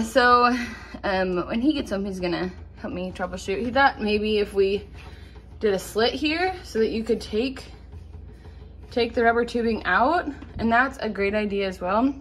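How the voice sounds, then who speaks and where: A woman's voice: a little high, measured pace, fairly bright tone. Chatty woman filming her kitchen. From Nebraska, USA. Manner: chatty, rambling, many "um"s and restarts. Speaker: farm vlogger. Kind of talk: casual DIY vlog narration. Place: home kitchen, indoors. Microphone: handheld phone camera.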